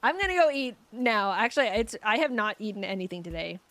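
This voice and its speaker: A woman's voice: medium pitch, animated, fairly quick, fairly bright tone. Scratchy-voiced young woman chatting. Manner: rambling, giggly, lots of fillers and restarts. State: voice getting scratchy. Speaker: streamer. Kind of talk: casual chat with live chat.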